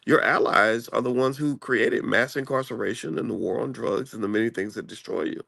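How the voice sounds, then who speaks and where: Gravelly-voiced man chatting casually. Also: mid-range voice, brisk, a bit bright, no room sound, studio quality. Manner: rambling, restarts, conversational. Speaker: channel host. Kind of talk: casual political chat. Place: traveling, in a room before a flight.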